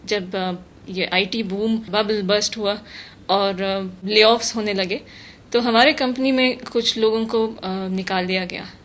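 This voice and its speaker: Interview guest: woman, mid-range pitch, thoughtful, English terms mixed in, some background noise. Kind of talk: measured interview answers. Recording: noticeable steady background noise.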